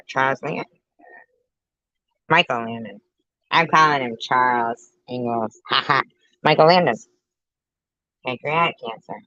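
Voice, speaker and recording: A woman's voice: rumbling low, a bit bright, no room sound, highs cut off. Blunt, sarcastic woman in her fifties. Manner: blunt, sarcastic, repeats herself, swears. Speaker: commentary host. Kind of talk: reaction commentary.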